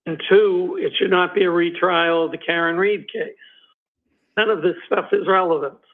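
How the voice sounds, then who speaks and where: Guy prosecutor arguing in court over a tinny video call, kinda high voice, lots of uhs, losing his voice. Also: steady tone. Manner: halting, many ums and uhs. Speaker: special prosecutor. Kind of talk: courtroom argument.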